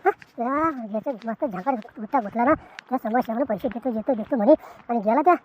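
Woman as a scolding villager, brisk, somewhat high. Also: lightly acted. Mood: instructing. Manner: loud reproachful lecturing.

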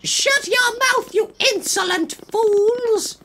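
A man voicing a stern, angry older female schoolteacher witch in a shrieking falsetto for a comic story reading. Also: takes it slowly, bright, forceful tone, heavily acted.

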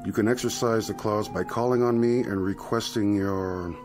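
An actor in a radio drama playing a suave devilish dealmaker, slyly courteous, formal and unctuous. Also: male voice, on the low side, fairly even intonation, normal speed, darkish tone, faint music behind, natural voice. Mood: silky, explaining.

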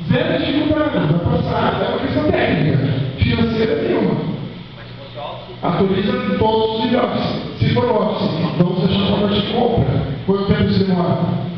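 A Brazilian man giving a conference talk while demonstrating software, chatting with the audience and tossing them rhetorical questions. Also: sits high, animated, moderate pace. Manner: conversational, keeps asking the audience rhetorical questions. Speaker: conference speaker. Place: large lecture hall indoors. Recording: echoey hall, distant dull microphone, faint hiss.

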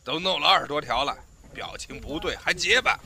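A man's voice: a little high, expressive, brisk, gravelly, sharp tone, slight background noise, exaggerated voice. A man playing a strict, impatient film director who scolds, in an audio drama. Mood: exasperated.

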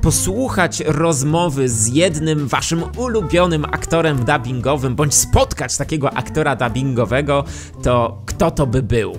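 A man in his thirties presenting to camera as a YouTube host, speaking close into a studio microphone. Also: fairly high pitch. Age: 30s. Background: music.

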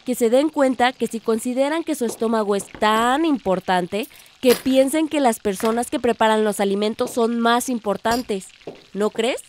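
An actress with a Mexican accent playing a bold, idealistic young daughter in a radio drama. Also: female voice, on the high side, moderate pace, a little mellow, slight background noise, lightly acted. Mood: eager, defiant.

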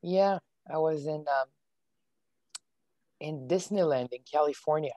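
A woman whose first language is not English, recalling things casually and haltingly as a coaching client. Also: low voice, warm tone, lo-fi sound. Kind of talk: casual personal recollection. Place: indoors on a video call.

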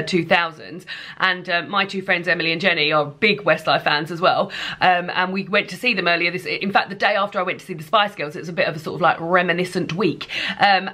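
A woman's voice: medium pitch, pushed and bright. A woman in her thirties chatting casually to camera in a rambling, informal way, as a vlogger. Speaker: vlogger reviewing books and entertainment. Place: small indoor room lined with bookshelves.

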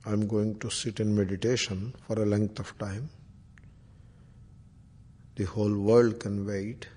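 A man with an Indian accent leading a guided meditation as a spiritual teacher, speaking deliberately in short phrases with Sanskrit terms and chants. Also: on the low side, little pitch movement, warm tone, husky. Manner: slow, deliberate, long pauses between short phrases.

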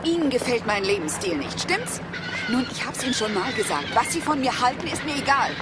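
An actress playing a proud, defiant wife under suspicion in a German crime radio drama, sharp-tongued and indignant. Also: female voice, a little high, very animated, a little fast, very noisy. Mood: scornful. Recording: music and outdoor ambience behind.

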